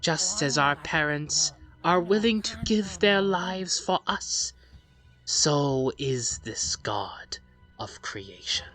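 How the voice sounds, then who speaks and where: A let's play host reads a game's story aloud in a measured, dramatic way and adds offhand, rambling commentary. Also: medium pitch, lively intonation, a bit bright. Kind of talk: reading game story aloud with casual commentary. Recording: music under the voice. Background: game music.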